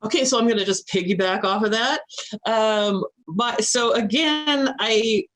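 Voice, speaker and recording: A woman's voice: fairly high pitch, a little slow, fairly bright tone. A woman college instructor with a strong, assertive voice, chatting informally and laughing often. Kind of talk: informal talk to students.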